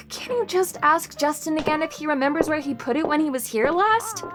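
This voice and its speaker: A high-strung woman with a very high voice. She is intense, argumentative and exacting, a perfectionist in an audio dramedy. Light music sits behind her. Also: animated, normal speed. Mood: exasperated. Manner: intense, demanding, indignant.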